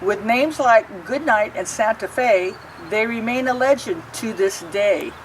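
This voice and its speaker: A woman's voice: on the high side, lively intonation, measured pace, recorded from a distance, noisy background. Woman reading a picture book from afar. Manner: sing-song rhyming reading with asides explaining pictures. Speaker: storybook reader.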